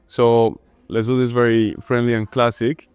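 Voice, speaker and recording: A man's voice: medium pitch, flat delivery, moderate pace, a little mellow, clear, resonant tone, no room sound. A man hosting a café interview, asking friendly, loosely phrased questions. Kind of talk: casual interview.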